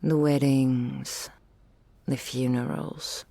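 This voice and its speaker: Woman playing a low, creaky, doting dragoness. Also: level tone, mellow, dry recording, exaggerated voice. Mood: fond, wistful. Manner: archaic, ornate, unhurried, affectionate phrasing. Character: doting, regal female dragon in towering human form. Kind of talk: ASMR roleplay, intimate scripted monologue addressed to listener.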